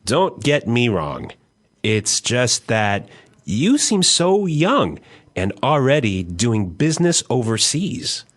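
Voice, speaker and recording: A man playing a friendly, nosy American taxi driver of forty in a slow, clear language-lesson dialogue. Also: medium pitch, big pitch swings. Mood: curious. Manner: slow, exaggeratedly clear delivery.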